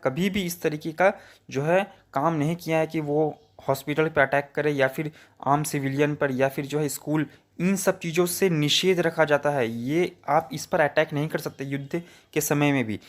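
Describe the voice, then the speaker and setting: An Indian man giving informal, opinionated news commentary in a colloquial, repetitive way. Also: on the high side.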